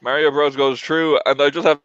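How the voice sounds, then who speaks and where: A man's voice: fairly high pitch, steady tone, a little fast, fairly bright tone, clear, resonant tone, no room sound, muffled recording. A man hosting a casual panel chat over an online call. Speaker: host of a film tournament show. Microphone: online group call.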